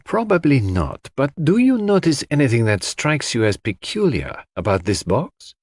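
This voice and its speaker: A man voicing a calm, courteous detective who questions people precisely in an audiobook mystery. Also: mid-range voice, moderate pace, dry recording, pitched above the performer's own voice. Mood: probing.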